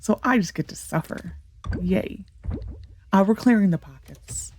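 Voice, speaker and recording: A woman's voice: mid-range voice, unhurried, a little mellow, a little hiss or hum. A woman with a congested, nasal voice, talking casually and thinking aloud as she plays a farming game, with many ums and restarts. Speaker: let's play gamer. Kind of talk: casual gameplay commentary.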